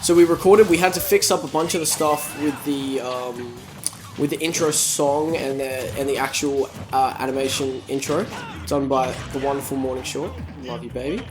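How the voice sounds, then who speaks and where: A young man in casual gaming chat who giggles often and lets his sentences trail off into low mumbles. He sits at a middle pitch, and video game music plays clearly behind him. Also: normal speed. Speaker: gaming channel co-host.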